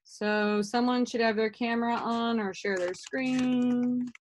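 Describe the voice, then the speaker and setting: A woman in her thirties runs an online class from her home, talking through a laptop webcam. She keeps it casual and upbeat, scattered with fillers, and her voice sits a touch high. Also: fairly even intonation, takes it slowly. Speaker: teacher. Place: home room with art on walls. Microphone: laptop webcam video call.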